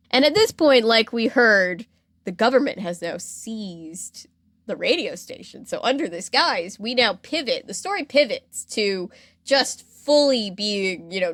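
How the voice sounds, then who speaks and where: Female podcast host, mid-range voice, casual animated storyteller who slips into quotes, very dry studio sound. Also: medium pitch, no room sound. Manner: animated, slangy, acts out quotes.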